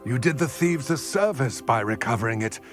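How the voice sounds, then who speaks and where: An actor voicing an aging, proud goblin in a video game, speaking in a formal, measured, eloquent way. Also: male voice, medium pitch, expressive, a bit bright, soft background music, close to an everyday voice. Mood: pleased, grateful. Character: aging male goblin, solemn, proud, formal former fighter. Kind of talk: video game cutscene acting.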